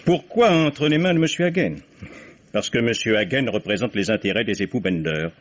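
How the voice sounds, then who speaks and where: An actor playing a stern, authoritative attorney general who speaks formally and deliberately in a vintage French radio play. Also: male voice, on the low side, animated. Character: stern, official male attorney general running an inquiry. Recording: dull vintage radio recording.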